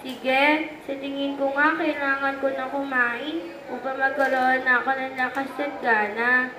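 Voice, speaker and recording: A woman's voice: high-pitched, level tone, unhurried. A woman voicing a tired, sleepy, polite schoolgirl with a Filipino accent in an amateur puppet show. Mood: weary. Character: tired, sleepy schoolgirl who lacks appetite and energy. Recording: echoey room recording with crowd noise.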